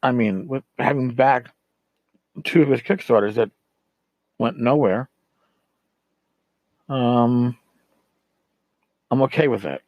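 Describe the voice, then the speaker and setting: A man's voice: mid-range voice, slow, long pauses, no room sound, muffled recording. A middle-aged man talks as a podcast host in a loose, rambling monologue. He is blunt and exasperated, swearing freely as he vents. The sound is dry, with very little room in it. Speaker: tabletop gaming podcast host. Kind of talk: casual ranting monologue.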